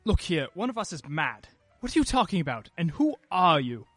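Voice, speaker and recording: An actor playing a troubled, irritable well-to-do husband in a radio play, clipped and indignant. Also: male voice, on the high side, sing-song, fairly quick, a bit bright, no room sound, soft background music.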